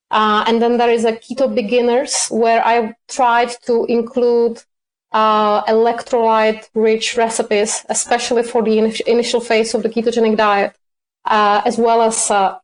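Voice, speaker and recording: A woman, a cookbook author answering interview questions, hesitant with many fillers and self-corrections. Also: a little high. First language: Czech.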